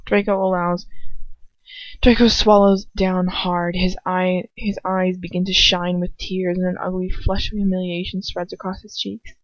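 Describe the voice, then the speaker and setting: A woman reading a story aloud as an amateur narrator, expressive, with the occasional stumble over words. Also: medium pitch, dry recording. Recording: dull lo-fi close home recording.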